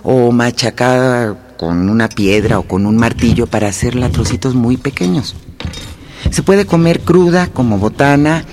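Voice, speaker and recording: A man acting in a radio play as a plain-spoken rural Mexican fugitive rebel, recounting his flight in a conversational, unhurried way. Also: mid-range voice, a little mellow, a little hiss or hum, close to an everyday voice. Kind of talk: radio drama monologue, first-person storytelling.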